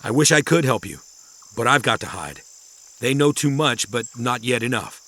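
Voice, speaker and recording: Grave, secretive professor, lively intonation. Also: male voice, mid-range voice. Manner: measured, cryptic, ominous. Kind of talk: kids' audio drama acting.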